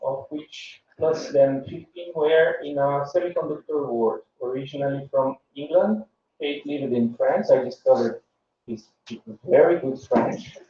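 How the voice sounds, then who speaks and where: Slow halting man in echoey hall. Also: medium pitch, warm tone, a little hiss or hum. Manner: halting, slow introductions with many fillers. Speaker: workshop organizer and moderator. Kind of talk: opening remarks at an academic workshop. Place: small amphitheater on a university campus. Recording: distant, roomy, dull livestream audio.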